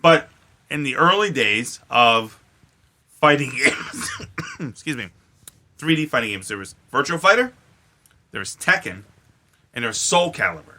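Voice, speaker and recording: A man's voice: medium pitch, lively intonation, unhurried, long pauses, sharp tone, slight background noise. A man hosting a casual comedy chat, crude, joking and profane. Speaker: podcast host.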